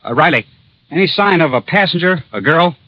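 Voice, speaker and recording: An actor playing a practical, protective American police lieutenant in classic radio drama, speaking in a brisk, plain-spoken way. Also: male voice, on the high side, animated, no room sound. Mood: businesslike. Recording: noisy, muffled vintage radio recording.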